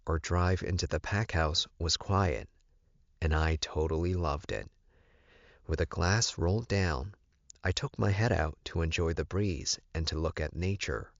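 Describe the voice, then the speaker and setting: A man with a very low voice narrates a story from a script. He reads slowly, and the recording is dry and somewhat dull. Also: vocal fry, no room sound. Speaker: audiobook narrator.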